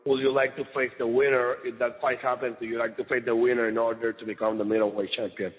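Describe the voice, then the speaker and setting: A man's voice: medium pitch, level tone, quick pace. A man asking direct questions as a reporter on a media conference call. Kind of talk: direct phone interview questioning. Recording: tinny phone-line conference call with reverb.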